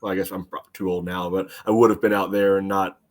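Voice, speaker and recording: Low, even-toned middle-aged American man. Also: fast talker. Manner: rambling, leans on "you know". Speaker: sports podcast panelist. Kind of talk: casual soccer analysis chat.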